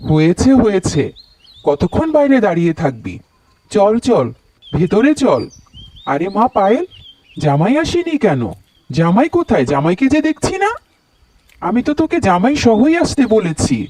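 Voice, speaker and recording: A man's voice: high-pitched, big pitch swings, moderate pace, pauses often, darkish tone, no room sound. A man playing a fussing, hospitable middle-aged family elder in a cartoon story, bustling and questioning. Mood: bustling, puzzled. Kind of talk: Bengali cartoon story dialogue.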